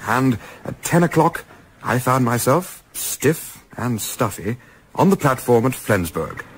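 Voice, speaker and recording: An actor playing a fastidious, weary gentleman in a radio play, with a measured, formal, literary way of speaking. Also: mid-range voice, big pitch swings, full character voice. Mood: world-weary, literary. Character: fastidious, weary English gentleman narrator, reluctant holidaymaker. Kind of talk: radio play narration and dialogue. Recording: clearly noisy, ambient sound behind.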